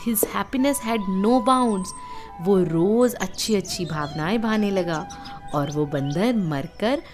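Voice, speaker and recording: An Indian woman tells a moral story for children. She speaks slowly and expressively, with wide swings in pitch, explaining things as she goes like a teacher. Music plays clearly behind her. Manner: expressive, instructive, addresses listeners with questions.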